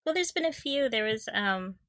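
A woman's voice: on the high side, expressive, normal speed, fairly bright tone. A woman co-hosting a podcast in casual fan chat, gushing and giggly as she talks. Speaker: podcast co-host.

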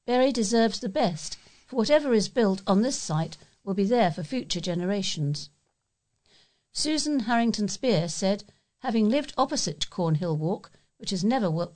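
A woman's voice: mid-range voice, darkish tone. British lady reading out local paper stories, scripted news read, volunteer style. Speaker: volunteer newsreader. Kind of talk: read-aloud local newspaper articles.

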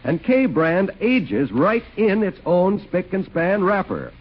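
High, lively American radio announcer man. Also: slow. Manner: polished, salesmanlike. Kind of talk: 1940s radio announcing and ad reading. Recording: muffled 1940s radio broadcast, faint music.

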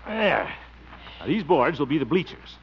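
Jovial exasperated man in old radio comedy, highish swoopy voice, hissy muffled recording. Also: sing-song, measured pace, vocal fry, exaggerated voice. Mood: bustling. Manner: theatrical, wry, muttered asides. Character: Harold, exasperated jovial small-town man staging a backyard circus. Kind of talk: 1950s radio comedy acting.

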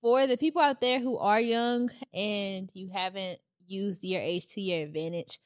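A woman's voice: mid-range voice, moderate pace, dry recording, clean studio sound. Emphatic woman urging listeners on. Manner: emphatic, motivational, animated storytelling. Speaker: podcast co-host. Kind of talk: casual podcast chat.

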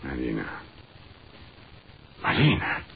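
An actor playing a jealous, brutal prison camp commandant with a sly, deliberate menace, in 1940s radio horror style. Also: male voice, extremely low pitch, wildly swooping pitch, creaky, full character voice. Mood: jealous fury. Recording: noisy, dull 1940s radio recording.

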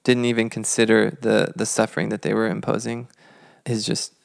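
A man in his thirties co-hosting a podcast, telling history in a measured, reflective, conversational way. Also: lowish voice, flat delivery, creaky, no echo, studio quality. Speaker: podcast co-host.

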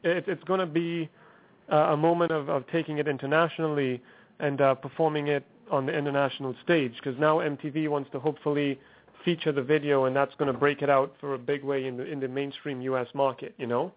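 A man's voice: fairly high pitch, little pitch movement, brisk. Man on a tinny phone line. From Trinidad and Tobago. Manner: rambling, filler-heavy, upbeat. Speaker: guest musician. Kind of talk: casual phone interview.

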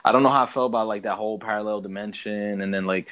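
A man's voice: on the low side, steady tone, rapid-fire, fairly bright tone. A man co-hosting a podcast in casual, slangy chat, feeling his way through sentences, with background noise. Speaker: podcast co-host.

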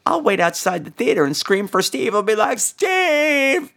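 Theatrical man with wildly swooping, creaky voice. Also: sits high, big pitch swings, measured pace, a bit bright. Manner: campy, theatrical, teasing. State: feverish, ill with flu and laryngitis. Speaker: podcast host. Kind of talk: casual, rambling solo chat responding to callers.

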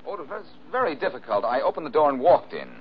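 An American actor playing a cool, sardonic amateur detective in a 1940s radio mystery, glib and self-assured, on an old recording. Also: male voice, fairly high pitch, sing-song, quick pace, husky. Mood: confident, sardonic. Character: wisecracking, cool-headed amateur detective man, quick and sardonic. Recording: dull, hissy old-time radio recording.

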